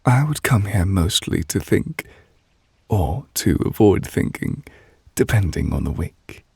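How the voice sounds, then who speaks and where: A man playing a gentle, refined, possessive witch in love, speaking breathy and hushed in an intimate ASMR romance roleplay. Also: low-pitched, big pitch swings, unhurried, dry recording, clean studio sound, exaggerated voice. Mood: fond, wistful. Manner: gentle, refined, wistful, formal phrasing. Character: soft-spoken, tender, possessive male witch in love.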